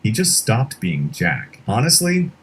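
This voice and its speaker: A man narrating a scripted horror explainer in a measured, dramatic storytelling way. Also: on the low side, huge pitch swings, slight background noise.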